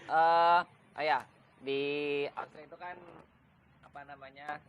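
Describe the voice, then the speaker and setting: A man's voice: a little high, fairly even intonation, a little slow, narrow, tinny audio, slight background noise. A man hosting a casual interview, chatting informally and bantering with his guest. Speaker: host of a chat interview show.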